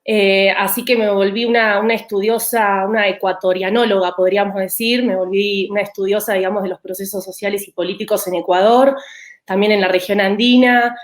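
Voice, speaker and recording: An Argentine woman with a rioplatense accent speaks as a guest academic, at a middle pitch. She answers thoughtfully, restarting phrases and filling pauses with "eh". The room around her adds a noticeable echo. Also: fairly even intonation. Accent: Argentine Spanish (rioplatense). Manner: thoughtful, with hesitant restarts and "eh" fillers. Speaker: political scientist guest. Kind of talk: interview answers.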